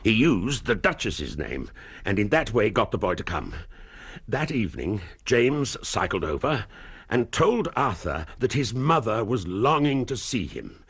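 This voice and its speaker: An anguished English nobleman in a period radio drama. His upper-class voice is gravelly and husky, swinging widely in pitch. He speaks formally and gravely, halting under the strain of his pride. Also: big pitch swings, a bit bright, exaggerated voice. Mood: grave, regretful.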